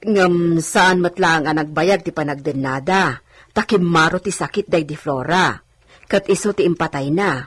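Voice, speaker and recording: A woman's voice: on the low side, normal speed, slightly rough, no room sound. A woman playing an anxious, persistent older village servant in a radio drama, earnest and coaxing.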